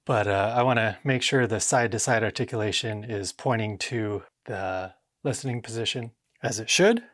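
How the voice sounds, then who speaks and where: A man's voice: lowish voice, normal speed, a bit bright, dry recording. Casual DIY guy thinking aloud, dry sound. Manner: self-talking, punctuated with short exclamations. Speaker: home theater DIY vlogger. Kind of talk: casual hands-on vlog narration, thinking aloud. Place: indoor home theater room with drop ceiling.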